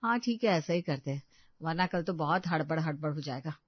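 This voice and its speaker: A woman's voice: low voice, expressive, fairly quick, no room sound, muffled recording. A woman voicing a respectful, sincere elder daughter-in-law in a family story. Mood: agreeable. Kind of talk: Hindi family story acting.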